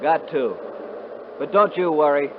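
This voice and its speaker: An actor playing a quiet, gentle dreamer son who speaks calmly in a 1950s radio drama. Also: male voice, fairly high pitch, unhurried, close to an everyday voice. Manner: soft-spoken, calm. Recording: tinny noisy vintage radio recording.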